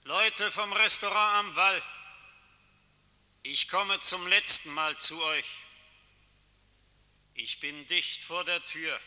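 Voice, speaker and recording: An actor playing Death as a solemn, majestic coachman, declaiming deliberately in a radio play. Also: sits high, pushed and bright, full character voice. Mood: grand, announcing. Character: Death personified, solemn, majestic male coachman come to collect souls. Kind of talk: German radio play acting. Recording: long reverberant hall echo.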